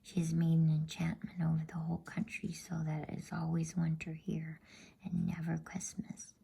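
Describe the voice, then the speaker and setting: A woman voicing an earnest, kind-hearted young girl in a breathy, hushed ASMR storybook whisper. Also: deep voice, monotone, moderate pace, exaggerated voice. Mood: grave.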